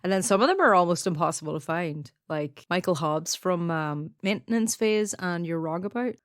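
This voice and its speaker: Woman with a dry, lowish voice. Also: lively intonation, moderate pace, dry recording. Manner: chatty, laughs often, many fillers. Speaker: podcast co-host. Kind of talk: casual chat. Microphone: headphones, studio mic.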